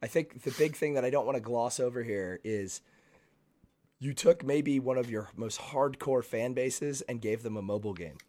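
A man co-hosting a casual gaming podcast, with a hoarse, raspy voice and an animated way of jumping in. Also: medium pitch, moderate pace. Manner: animated, interrupts often. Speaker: podcast co-host.

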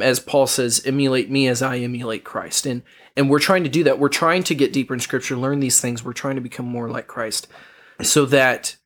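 Guy cohosting a podcast, a bit husky, reads bible verses slow and earnest, some restarts. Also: medium pitch, a bit bright. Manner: earnest, measured reading with restarts. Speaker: podcast co-host. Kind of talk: reading and explaining Bible verses.

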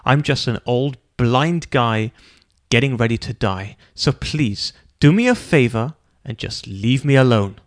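A man voicing a gruff, bitter blind old man who growls and answers curtly. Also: medium pitch, animated, a little slow, no room sound, studio quality, close to an everyday voice. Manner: growling, curt.

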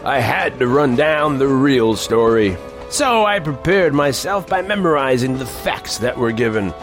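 An actor playing an older male reporter who narrates a strange frontier tale in an emphatic, deliberate storytelling manner. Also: mid-range voice, expressive, natural voice. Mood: intrigued. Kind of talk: dramatic scripted first-person narration. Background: music bed.

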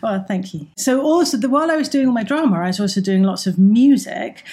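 A woman's voice: on the high side, animated, fast talker, mellow. A middle-aged woman, a drama teacher answering interview questions in an enthusiastic, chatty way with frequent ums. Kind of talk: interview guest, recounting her background.

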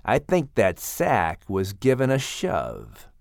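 Man playing a slow, low, grave animal friend. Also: huge pitch swings, creaky, no room sound, studio quality, heavily acted. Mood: grave, suspicious. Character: loyal, solemn, wary male animal friend.

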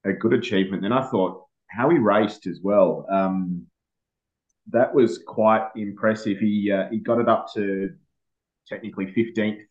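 An Australian man speaking as a motorsport editor, relaxed and hesitant with plenty of pauses. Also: fairly low pitch, lo-fi sound. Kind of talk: casual motorsport chat.

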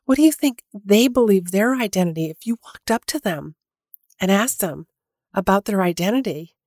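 A woman's voice: medium pitch, animated, moderate pace, a little mellow, no room sound. Woman doing her podcast solo, bit stuffy and nasal from a cold, chatty with lots of likes and restarts, dry close sound. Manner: chatty, many fillers and restarts. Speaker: podcast host. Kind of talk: casual solo podcast talk.

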